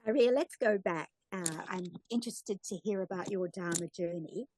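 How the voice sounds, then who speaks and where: A woman hosting an interview, introducing her guest from a script and then asking questions in a warm, welcoming manner. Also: lowish voice, unhurried, breathy edge, rough recording. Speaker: interviewer, host.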